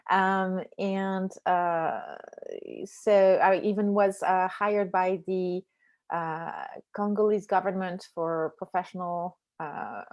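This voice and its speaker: A middle-aged woman from France with a French accent, giving a relaxed online slide talk as a beekeeping presenter, often pausing with ums and uhs. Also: fairly low pitch.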